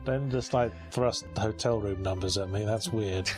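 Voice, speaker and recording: A man's voice: fairly low pitch, moderate pace, music in the background. A man co-hosting a podcast, chatting casually in a dry, self-deprecating way, often restarting his sentences. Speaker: podcast co-host. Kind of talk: casual chat.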